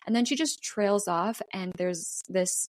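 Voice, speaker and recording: Female podcast storyteller, casual and conversational, dramatic on details, medium pitch, very dry recording. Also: a little slow, dry recording. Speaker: podcast co-host. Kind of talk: casual story retelling with banter.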